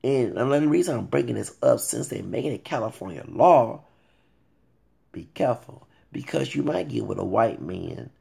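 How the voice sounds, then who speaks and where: A man in his late thirties giving a blunt, animated rant to his viewers, swearing freely and calling them y'all. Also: mid-range voice, vocal fry, breathy edge. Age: late 30s. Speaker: vlogger. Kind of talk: ranting commentary to camera.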